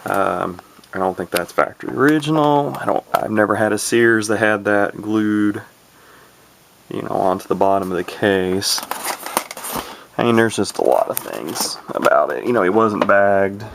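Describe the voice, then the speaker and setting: A man's voice: lowish voice, expressive, normal speed. A man giving a casual, unscripted demonstration as a hobbyist, rambling and hesitant, often correcting himself. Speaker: hobbyist presenter showing a vintage radio. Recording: clearly noisy home video recording.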